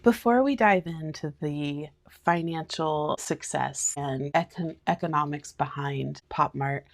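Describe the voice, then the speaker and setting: A woman's voice: low voice, a little slow, gravelly. A woman in her thirties from the United States, hosting a casual solo podcast from her living room couch, talking chattily into her phone's voice memos and laughing often. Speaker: podcast host. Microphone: phone voice memo.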